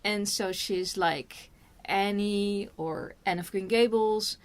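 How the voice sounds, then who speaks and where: A Dutch woman with a medium-pitched voice, speaking English as a second language. She walks through a film's plot in an easy conversational way, chiming in briefly when her co-host speaks. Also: steady tone. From Netherlands. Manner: plain storytelling with quick interjections. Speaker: film review co-host. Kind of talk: casual chat, retelling a film plot.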